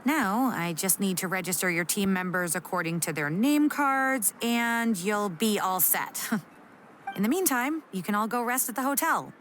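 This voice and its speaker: Lively, polite registration clerk. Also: female voice, medium pitch, a little slow, a little hiss or hum. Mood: businesslike. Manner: polite, businesslike. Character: brisk, friendly female tournament registration receptionist. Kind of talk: video game voice acting.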